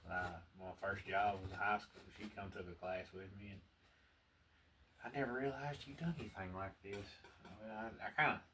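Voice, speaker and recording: A man with a strong Southern US accent, chatting in a folksy, unhurried way as he teaches painting, murmuring asides to himself. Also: on the low side, fairly even intonation, bright, forceful tone. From American South. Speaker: painting instructor. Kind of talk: relaxed painting tutorial with casual chat. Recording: distant mic with clear background noise.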